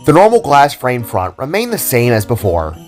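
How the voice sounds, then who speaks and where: A man narrates a written story as its storyteller. His pitch sits in the middle of the male range, and music plays behind his scripted reading. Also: wildly swooping pitch, normal speed. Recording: background music under the voice.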